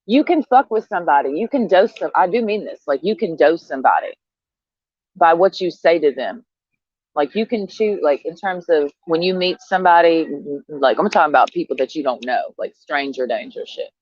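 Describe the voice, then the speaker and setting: A woman's voice: lowish voice, lively intonation, moderate pace, darkish tone, no echo, dull audio. A woman in her thirties vlogging in a brash, profane, sarcastic monologue, laughing often as she talks. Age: 30s. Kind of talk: casual monologue to camera.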